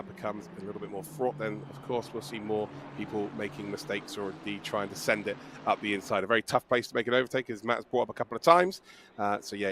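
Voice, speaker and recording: A man commentating a live sim race with a croaky, strained voice, excitable and joking. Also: fairly low pitch, noisy background. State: hoarse, losing his voice.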